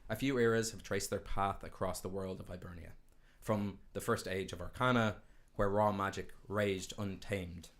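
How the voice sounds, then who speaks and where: Low-voiced man narrating a fantasy game. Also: steady tone, moderate pace. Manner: storytelling, playful asides. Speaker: game master.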